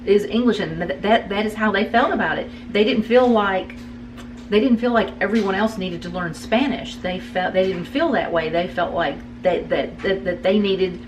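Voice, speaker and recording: A woman talking casually with her son, halting and repeating words as she searches for them. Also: medium pitch, far from the mic, background noise. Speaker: guest, the host's mother. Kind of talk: casual family conversation.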